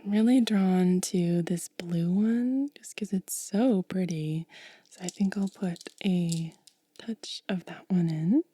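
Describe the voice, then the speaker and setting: A woman speaking in a soft, breathy whisper as an ASMR host, gentle and unhurried, close to the microphone. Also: fairly low pitch, no room sound. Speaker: ASMR video creator and host.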